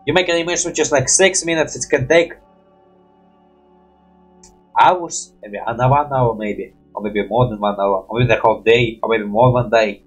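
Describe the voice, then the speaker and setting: A young man talks in a slightly rough, unclear voice over faint music. He rambles through a casual reaction commentary in halting non-native English. Mild curses are scattered through his speech. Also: medium pitch. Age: young adult. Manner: habitual mild swearing. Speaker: reaction video host. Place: small room at home.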